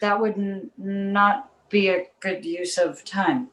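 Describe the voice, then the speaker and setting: A woman playing the exasperated, placating mother of a talking baby in an animated comedy table read, recorded in a roomy space. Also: mid-range voice, slow. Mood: uneasy, exasperated.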